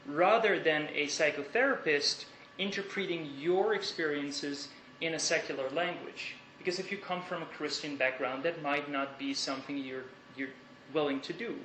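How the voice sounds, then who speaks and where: A man's voice: on the high side, bright, forceful tone, slight background noise. Dutch man lecturing in an echoey hall. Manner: thoughtful, measured, with hesitations and restarts. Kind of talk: academic lecture.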